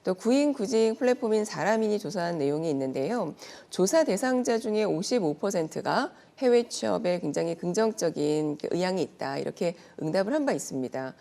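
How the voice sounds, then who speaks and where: A woman's voice: on the low side, lively intonation, fairly quick, vocal fry, hardly any pauses, warm tone. A woman speaking as an expert guest in a news segment, explaining things politely and point by point in a prepared, organized way.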